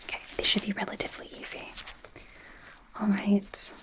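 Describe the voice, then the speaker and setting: A woman playing an eager, friendly, slightly awkward female werewolf doctor in an ASMR medical exam roleplay, speaking in a soft breathy whisper with a hesitant, rambling manner. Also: moderate pace, close to an everyday voice. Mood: teasing, curious.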